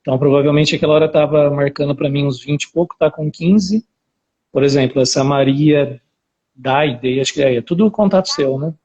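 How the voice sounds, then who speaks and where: A man's voice: a little high, normal speed, darkish tone, muffled recording. A talkative man of around forty with an interior São Paulo Brazilian accent, telling his own story casually as a researcher being interviewed on a live video call. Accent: interior São Paulo Brazilian Portuguese. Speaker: academic researcher, interview guest.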